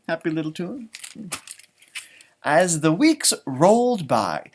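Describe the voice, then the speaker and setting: A man reading a storybook aloud to children as a teacher, expressively, with informal explanatory asides. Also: high voice. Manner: expressive, explains words and pictures simply for children.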